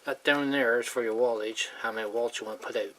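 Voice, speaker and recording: A person talking casually and informally while showing hands-on work, rambling and trailing off mid-thought, with a medium-pitched voice. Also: steady tone, fast. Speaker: hobbyist showing a radio repair. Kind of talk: casual hands-on video narration. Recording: clearly noisy amateur handheld recording.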